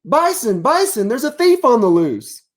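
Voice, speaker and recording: A man's voice: very high-pitched, sing-song, moderate pace, voice pushed up, heavily acted. A man voicing a frantic, alarmed deer who exclaims his way through a children's picture book. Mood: frantic, urgent. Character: frantic male deer, victim of theft.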